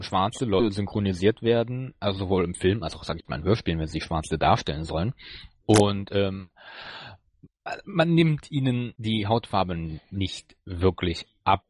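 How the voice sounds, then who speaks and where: Male podcast co-host, lowish husky voice, casual and hesitant delivery, closely recorded and dry. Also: a little slow, dry recording. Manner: hesitant, searching for words. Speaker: podcast co-host reviewing audio dramas. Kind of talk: casual discussion.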